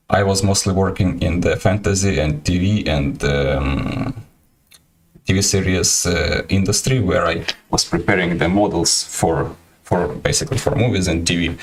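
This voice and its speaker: Deep-voiced Ukrainian-accented man. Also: a little hiss or hum. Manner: halting, many fillers and restarts. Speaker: livestream host, 3D artist. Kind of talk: casual livestream talk.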